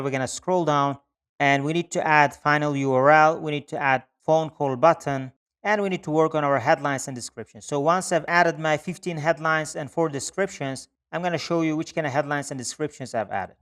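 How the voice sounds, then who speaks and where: A man with a slightly high, very clean and smooth voice walks through a software tutorial step by step. His phrasing is instructional and repetitive, like an online presenter guiding viewers through each click. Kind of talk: step-by-step screen-share tutorial narration.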